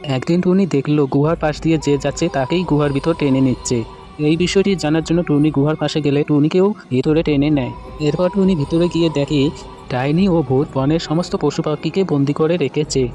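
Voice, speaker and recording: A man narrates a scripted cartoon story in a fairly high-pitched voice. He tells it in a storybook style, over light music. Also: normal speed, mellow.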